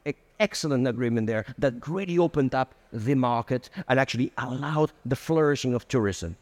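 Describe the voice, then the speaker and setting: A man speaking as a conference panelist, earnest and a little halting, with fillers. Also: mid-range voice. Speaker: airport association panelist. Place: conference hall. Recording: reverberant conference hall.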